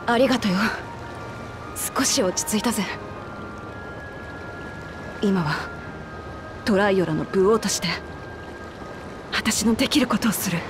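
A woman voicing a young lion-woman warrior queen in a video game, passionate and earnest, with rough, tomboyish speech. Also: medium pitch, measured pace, pauses often, over music, natural voice. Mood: steadier, grateful. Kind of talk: dramatic video game voice acting.

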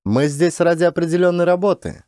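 A man voicing a brisk, authoritative female space commander in an audiobook, firm, pragmatic and commanding. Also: a little high, fairly even intonation, darkish tone, dry recording, studio quality, voice pushed up, natural voice. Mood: firm, persuasive.

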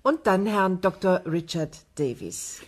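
A female broadcast host in a sci-fi radio drama. She is formal, courteous and probing, with a slightly husky voice and diction. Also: lowish voice, animated, takes it slowly. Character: polite, inquisitive female science broadcast host.

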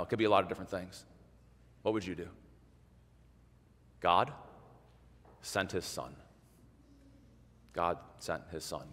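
Man preaching in a reverberant sanctuary. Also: medium pitch. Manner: conversational, animated, drops to hushed emphasis. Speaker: pastor. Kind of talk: sermon. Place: large church sanctuary in front of an altar. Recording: long church reverb.

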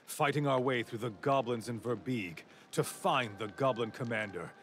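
A man's voice: mid-range voice, moderate pace, a little hiss or hum. A man giving grave, scripted narration for a fantasy game's story cutscenes. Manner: solemn, grave. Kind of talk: epic scripted game narration. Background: loud orchestral music.

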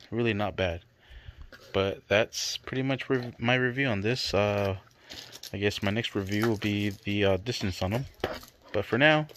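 A man, a dad, casually narrating an unboxing review in a laid-back, plain-spoken way in a bedroom. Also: lowish voice. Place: bedroom, indoors. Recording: noisy handheld home recording.

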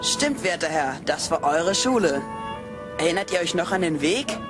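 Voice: medium pitch, animated, close to an everyday voice. A performer as a cheeky young boy ghost. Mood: impatient, teasing. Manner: brisk, cheeky, teasing. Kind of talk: dubbed cartoon acting. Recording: loud film music behind.

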